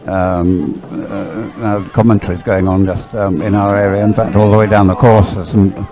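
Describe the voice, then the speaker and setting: A man giving loose, halting live race commentary outdoors, often rambling and thinking aloud. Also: fairly low pitch, flat delivery. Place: outdoors beside a race course. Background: music, loudspeakers at a sports venue.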